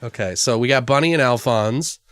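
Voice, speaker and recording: A man's voice: medium pitch, a little fast, clear, resonant tone, no echo. A man co-hosting a podcast in casual comedic brainstorming, rambling and joking crudely. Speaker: podcast co-host.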